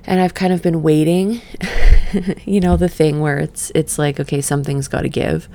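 A middle-aged woman who just woke up, leading a gentle breathing exercise and then reflecting in a soft, meandering way. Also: low voice, fairly even intonation. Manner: soft, slow and meandering, with sighs and fillers. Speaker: podcast host and session coach. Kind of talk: guided breathing then casual reflective talk.

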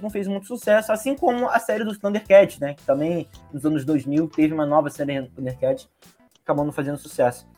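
A man with a Brazilian Portuguese accent, co-hosting a casual chat and talking animatedly. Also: on the high side. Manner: animated, hums and imitates theme songs. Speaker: podcast co-host. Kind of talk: casual chat about cartoons.

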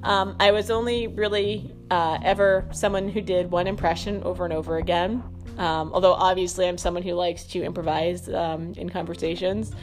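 A woman's voice: on the low side, flat delivery, moderate pace, faint music behind. A woman hosting a podcast in a casual stream-of-consciousness monologue, rambling and self-deprecating. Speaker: podcast host.

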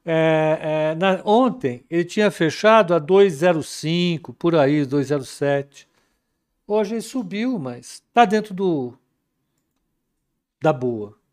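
A man hosting a casual live market commentary, relaxed and chatty, reading viewer comments aloud. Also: a little high, slow. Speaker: market commentary livestream host.

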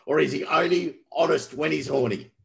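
A man hosting a casual podcast interview, excitable and jokey, often laughing. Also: on the high side, normal speed, creaky. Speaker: podcast host.

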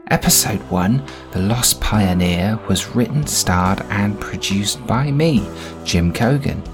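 Guy doing scripted podcast outro credits, lowish voice, music behind. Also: animated, takes it slowly. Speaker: podcast creator and host. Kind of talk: scripted narration, closing credits.

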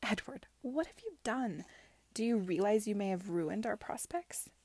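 A woman voicing a haughty, scheming young gentlewoman in an audiobook, with a cutting, commanding and disdainful delivery. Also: mid-range voice, very animated, normal speed, slight background noise. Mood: shocked. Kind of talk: audiobook character dialogue.

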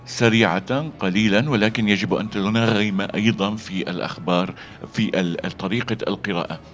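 A very deep-voiced man gives a voice-over lesson and stops often, with fillers and restarts between the lines he reads aloud. The sound is dull and lo-fi, with faint music underneath. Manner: halting, with many fillers and restarts between demonstrations. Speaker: voice acting coach.

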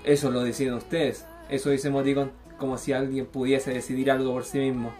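A man's voice: medium pitch, little pitch movement, a little fast, darkish tone, faint music behind, close to an everyday voice. A young Chilean man acting a needy, boastful, troubled teenage boy in a radio theatre piece. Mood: brooding. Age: young adult. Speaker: student performer.

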